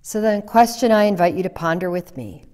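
A middle-aged woman pastor giving an earnest sermon, sometimes dropping to a hushed whisper for emphasis. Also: lowish voice, brisk. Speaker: Lutheran pastor. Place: church chancel, indoors. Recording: long hall reverb in a church.

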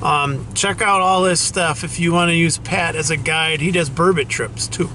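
A man chatting casually and excitedly as he films an outdoor fishing vlog on a camera microphone. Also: a little high, moderate pace. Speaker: fishing vlogger behind the camera. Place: outdoors on a snowy waterfront pier. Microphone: handheld camera microphone. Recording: noisy outdoor camera audio.

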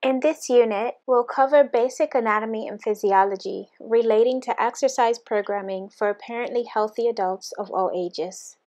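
A woman instructor narrating a scripted anatomy lesson over slides, in a clear, steady, explanatory way. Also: on the high side, moderate pace, hardly any pauses. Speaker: fitness course instructor. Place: voice-over, not the pictured slides.

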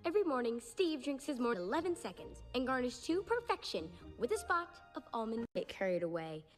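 A woman voicing a spirited, inventive young girl in an animated film, earnest and expressive. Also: fairly high pitch, fairly quick. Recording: loud film music behind.

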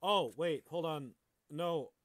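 A man's voice: fairly high pitch, takes it slowly. A man co-hosting a podcast, bantering casually and reading aloud. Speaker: podcast host.

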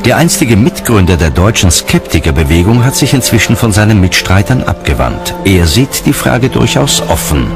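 A man with a deep voice reads documentary narration. His pitch moves expressively through each sentence, and music plays clearly behind him. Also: sing-song, normal speed, few pauses.

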